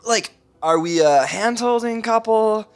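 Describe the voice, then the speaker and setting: Eager sitcom husband, bit awkward, earnest, breezy overconfident bluffer. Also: male voice, high voice, a little slow, soft background music. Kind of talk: sitcom podcast acting.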